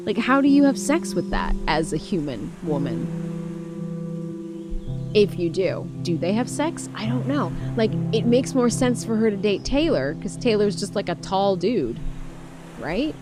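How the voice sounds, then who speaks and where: A woman's voice: mid-range voice, sing-song, a little slow, long pauses. A woman giving casual, rambling, slangy game commentary as she streams a playthrough, with some swearing. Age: adult. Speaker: streamer playing a visual novel. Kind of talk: casual playthrough commentary, reading dialogue aloud. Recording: music playing behind the voice. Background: music.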